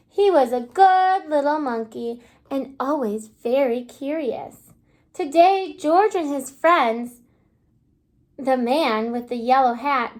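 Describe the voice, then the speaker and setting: A woman kindergarten teacher reading a story aloud to young children, expressive and pausing to prompt them with questions. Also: high-pitched.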